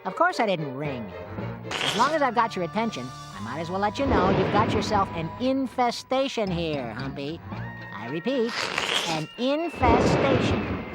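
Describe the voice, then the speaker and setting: A performer voicing a fast-talking, wisecracking cartoon boy with salesman-like patter. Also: medium pitch, big pitch swings, over loud music, lightly acted.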